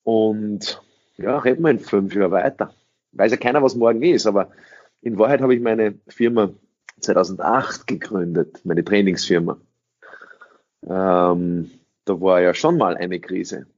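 A man's voice: on the low side, measured pace, darkish tone. A middle-aged man, an English speaker, answering interview questions as a sales trainer in an emphatic way, repeating phrases and asking rhetorical questions. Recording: dull lo-fi recording.

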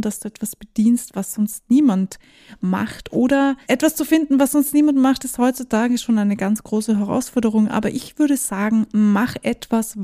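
A woman's voice: mid-range voice, dark tone. A woman hosting her own podcast in casual solo talk, chatty, dropping in English phrases and laughing now and then. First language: German. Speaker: podcast host.

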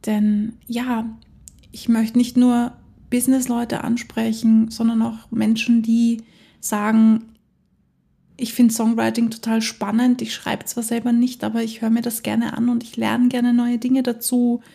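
A woman's voice: fairly high pitch, monotone, a little slow, creaky, mellow. A woman hosting her own podcast, talking alone in a chatty, enthusiastic way and laughing often. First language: German. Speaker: podcast host. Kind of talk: casual solo podcast monologue.

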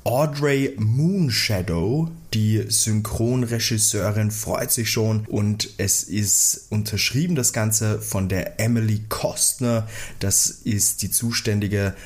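A man's voice: on the low side, slow. A man with an Austrian accent co-hosting a podcast, chatting and retelling a story in a colloquial, teasing, joking way. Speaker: podcast co-host and episode storyteller. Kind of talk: casual podcast chat and retelling.

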